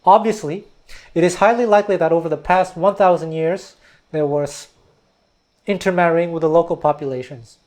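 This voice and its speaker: A man's voice: on the high side, normal speed, darkish tone. A man from Quebec whose first language is Taiwanese, giving a thoughtful, digressive educational history talk. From Quebec, Canada. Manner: thoughtful, digressive, often restarts words. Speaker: music teacher and video host.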